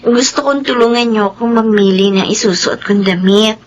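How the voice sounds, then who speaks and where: A woman's voice: a little high, normal speed, lo-fi sound, close to an everyday voice. A woman playing a kind, worried young woman. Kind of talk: radio drama acting.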